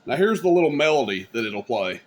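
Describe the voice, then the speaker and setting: A man's voice: medium pitch, sing-song, fairly quick, loud music behind the voice. A man giving a casual, folksy, rambling hands-on demonstration as a hobbyist video host. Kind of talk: casual hands-on product demo. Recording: clear background noise.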